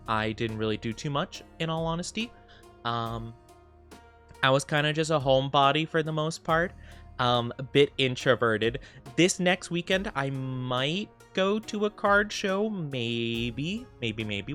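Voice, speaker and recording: Young male streamer, sniffly and congested, soft rambling chat with lots of ums, mid-pitched voice, faint music behind. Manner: soft-spoken, rambling, many fillers. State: sniffly, stuffed up. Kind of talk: casual chat with live stream viewers.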